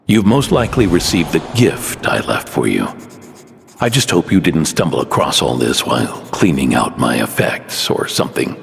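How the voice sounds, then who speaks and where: A man voicing a doting, philosophical inventor father in a video game, reading letters in a soft, measured, tender voice-over. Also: extremely low pitch, very animated, creaky, heavily acted. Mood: wistful, fond. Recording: long reverb on the voice.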